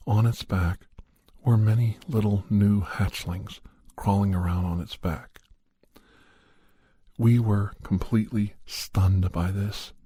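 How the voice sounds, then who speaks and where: Slow, low-voiced man telling an ASMR story. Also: mellow, husky, no room sound, studio quality. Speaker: podcast host and storyteller. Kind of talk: ASMR storytelling, first-person horror narration in character.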